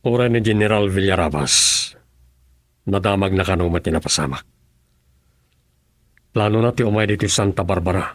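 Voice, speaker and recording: An actor playing a serious male aide in a radio drama, reporting in a steady, matter-of-fact way. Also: sits low, normal speed, long pauses, no room sound, studio quality, close to an everyday voice.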